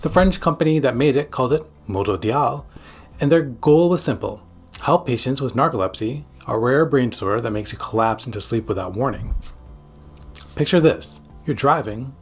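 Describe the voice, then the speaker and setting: A man in his forties, a psychiatrist hosting a scripted explainer to camera with emphatic, dramatic phrasing and a slightly husky voice. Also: medium pitch, expressive, normal speed. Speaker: psychiatrist and video host. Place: small home studio room. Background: background music.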